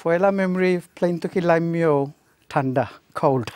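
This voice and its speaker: Man, 50s, from Bangladesh, hesitant guest, quick, dry close sound. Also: fairly high pitch, darkish tone, no echo. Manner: hesitant, many fillers, switches between English and Bengali. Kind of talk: casual interview chat.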